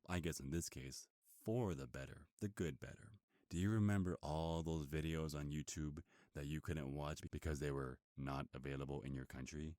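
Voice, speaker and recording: A man's voice: rumbling low, a little mellow, dry recording, clean studio sound. A man performing an ASMR roleplay as a smug, predatory werewolf in human form, taunting and possessive as he gloats seductively to his captive. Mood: smug persuading. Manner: taunting, possessive, smooth-talking.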